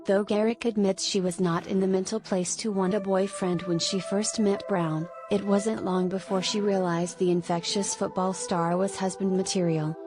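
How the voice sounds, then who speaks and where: A woman narrating a scripted celebrity news article in a measured read-aloud manner. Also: mid-range voice, level tone. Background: music.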